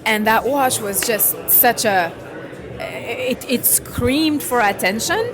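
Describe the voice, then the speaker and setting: A middle-aged woman from France, talking casually in an interview, animated and laughing, often trailing off and restarting. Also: on the high side, slow pace. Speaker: interviewee, watch collector. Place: busy indoor event. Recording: crowd chatter behind.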